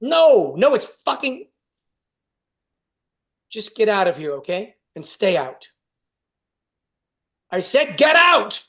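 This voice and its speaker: A man's voice: high voice, big pitch swings, pushed and bright, heavily acted. A man playing a stern, short-tempered father hectoring his child in a staged play reading. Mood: exasperated, angry. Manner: hectoring, rapid-fire questions.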